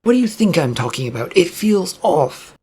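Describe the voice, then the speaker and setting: A man playing a casual, wry male demon investigator in a story, with a halting, sardonic manner. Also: on the high side, big pitch swings, a little mellow, a little hiss or hum. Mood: frustrated.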